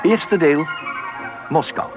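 A man's voice: fairly high pitch, slow pace, muffled recording. A man formally announcing the title and credits of a radio play in a scripted style. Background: loud music.

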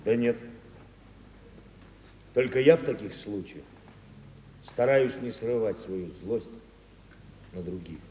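An actor playing a restrained, quietly stern chief engineer in a Soviet radio drama, speaking in a measured, reproving way. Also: male voice, on the low side, lively intonation, highs cut off, a little hiss or hum. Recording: echoey hall with music behind.

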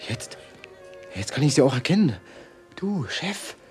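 A man playing a dim, slow-witted gangster henchman who speaks haltingly in a comic radio drama. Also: fairly high pitch, very animated, faint music behind. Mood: puzzled. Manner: halting, hesitant.

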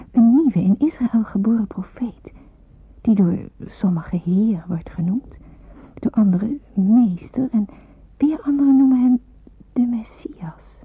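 Hushed, husky, pensive young woman. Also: on the high side, exaggerated voice. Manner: hushed, slow, breathy reflection. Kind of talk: radio drama monologue, diary-like narration. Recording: muffled roomy phone-like old radio recording.

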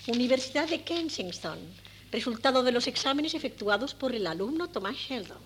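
A middle-aged Castilian Spanish mother in old radio theatre. Her voice is fairly high, chatty and full of naive pride in her family. The tape is old and slightly noisy. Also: lively intonation, fairly quick. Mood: proud. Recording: noisy 1979 radio cassette recording.

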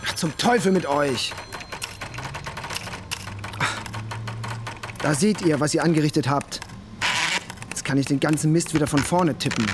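An actor playing a young, lovestruck police detective who is easily irritated, in a naturalistic German radio play. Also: male voice, on the high side, brisk, noisy background, lightly acted. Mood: exasperated. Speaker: actor in a radio crime drama. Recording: studio radio drama with clearly audible background ambience.

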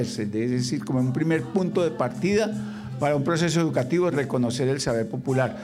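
A man taking part in a discussion on education, explaining his points one by one in a measured way. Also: a little high. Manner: measured, lays out points in order. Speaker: guest speaker on education.

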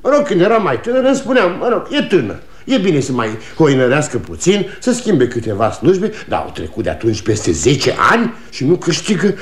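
Casting: stubborn, blustering old salesman father, rambling, highly animated pitch, 1960 radio-play delivery. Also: hardly any pauses. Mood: irritated, scornful. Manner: agitated, blustering, rambling with repeats and interjections. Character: aging salesman father, proud, stubborn, self-contradicting, muttering. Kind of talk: 1960 Romanian radio theatre acting.

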